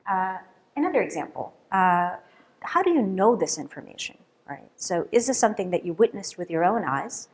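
A middle-aged woman, a native Russian speaker, giving a conference keynote at a lectern in a lecture hall, lively and often checking in with "right?". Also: lowish voice, moderate pace. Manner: lively, questioning, with frequent "right?" and fillers. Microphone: lectern microphone.

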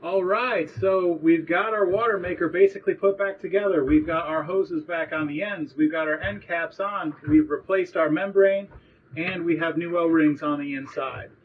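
A man's voice: on the high side, moderate pace, slightly rough, recorded from a distance, phone-quality sound. A man in his thirties walking through a repair step by step while working in a cramped sailboat cabin, recorded on a nearby action camera. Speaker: boat owner showing a repair. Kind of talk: hands-on how-to walkthrough.

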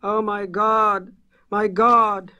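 A man's voice: high-pitched, little pitch movement, very slow, sharp tone, pitched above the performer's own voice, heavily acted. A man voicing a terrified rural New England villager in a horror audiobook, choked and wailing. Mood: panicked, despairing.

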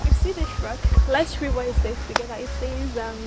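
A young woman in her twenties chatting casually while giving an outdoor campus walking tour, friendly and quick to laugh. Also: high-pitched, loud music behind the voice, low-quality recording. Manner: chatty, laughs often, friendly advice to viewers. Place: outdoors on a street and campus grounds.

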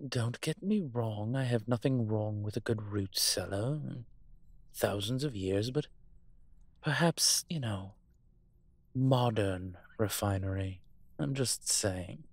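A man's voice: on the low side, dry recording, full character voice. A man playing a refined, centuries-old vampire in a roleplay audio drama, pompous, wry and teasing. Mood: haughty, defensive. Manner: pompous, wry, posh turns of phrase.

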